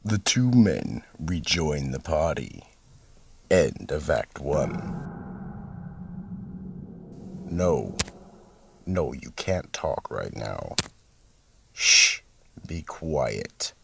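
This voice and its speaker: Very deep, gravelly sinister vault keeper. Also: male voice, sing-song, fairly bright tone, a little hiss or hum, heavily acted. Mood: grave, deliberate. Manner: ominous, theatrical, deliberate. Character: sinister male keeper of a horror vault, narrating. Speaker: host and narrator. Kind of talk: old-time radio horror narration.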